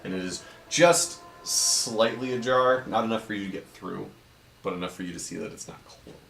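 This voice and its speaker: Low-pitched man running a tabletop game, roomy sound. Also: moderate pace, creaky, a bit bright. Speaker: game master. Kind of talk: narrating a tabletop game and bantering with players. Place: indoor room, around a home game table. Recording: roomy, distant mic, faint noise.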